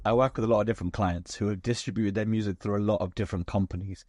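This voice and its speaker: Hoarse, raspy man hosting. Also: lowish voice, fairly even intonation, rapid-fire, darkish tone, no echo, studio quality. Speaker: podcast host. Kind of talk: interview, conversational.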